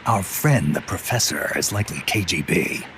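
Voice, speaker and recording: A battle-worn mercenary leader in a video game cutscene. The voice is low and heavily gravelled, the phrasing terse and guarded. Clear rumbling noise sits behind his weary words. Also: lively intonation, normal speed, fairly bright tone, heavily acted, rough recording. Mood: suspicious, gruff. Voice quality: gruff, gravelly rasp. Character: gruff, world-weary legendary mercenary commander.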